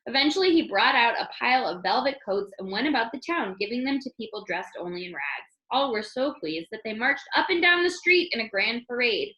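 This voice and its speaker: A woman reading a children's story aloud as an expressive narrator. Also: on the high side, brisk, hardly any pauses, dull audio. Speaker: storybook reader. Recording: roomy, echoing room sound.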